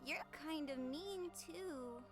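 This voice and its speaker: A woman's voice: sits high, slow, over music. A woman voicing a small, playful child with blunt curiosity and simple, giggly childlike talk in an audio drama. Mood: curious, blunt.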